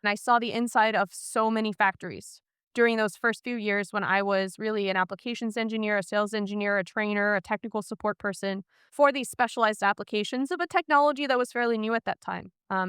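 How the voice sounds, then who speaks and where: A woman's voice: medium pitch, fairly even intonation, a little fast, a bit bright, smooth, no room sound, studio quality. A woman from Iceland speaking as a podcast guest, telling her career story in a candid, chatty way.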